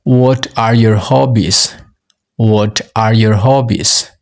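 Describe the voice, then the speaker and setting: A man's voice: fairly low pitch, fairly even intonation, takes it slowly. A man with a Mizo accent, a native Mizo speaker teaching English, who says each phrase twice for learners.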